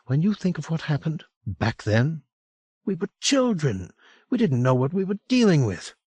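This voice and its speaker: A man's voice: on the high side, very animated, normal speed, husky, no room sound, pitched above the performer's own voice. A man voicing a chatty middle-aged woman. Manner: lilting and chatty, drops to a hush. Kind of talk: audiobook character voice.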